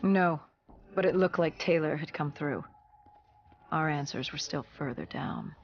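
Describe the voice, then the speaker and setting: Grave, gravelly battle-worn soldier. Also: mid-range voice, animated, highs cut off, a little hiss or hum. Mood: grim, haunted. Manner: grave, deliberate, halting storytelling. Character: battle-worn soldier recounting an undead apocalypse, grim. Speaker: video game voice actor. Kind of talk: dramatic cinematic narration.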